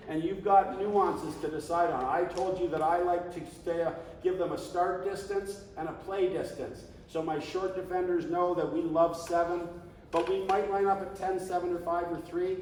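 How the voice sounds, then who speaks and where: A man leading a coaching clinic, talking in an animated, conversational way and now and then shouting coaching calls. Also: high-pitched, slight background noise. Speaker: flag football coach. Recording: echoey hall, distant mic.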